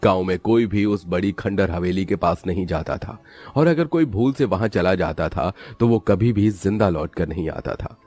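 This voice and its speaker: A man's voice: deep voice, lively intonation, moderate pace, a little mellow, soft background music. A man narrating a scripted story in a dramatic storytelling style.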